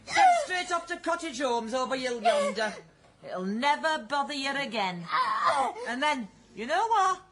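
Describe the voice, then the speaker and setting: A coarse, gloating matron of an old workhouse in a radio play. Her high Northern English voice swoops about as she scolds and sneers, over a very noisy recording. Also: sing-song, unhurried. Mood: gloating, menacing. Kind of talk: period radio drama acting.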